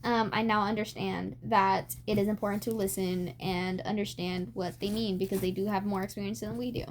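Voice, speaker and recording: A woman's voice: mid-range voice, steady tone, fairly quick, a little hiss or hum. A twenty-year-old woman in a casual podcast chat, talking in a giggly, rambling way with lots of "like". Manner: giggly, rambling, heavy use of "like". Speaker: podcast host.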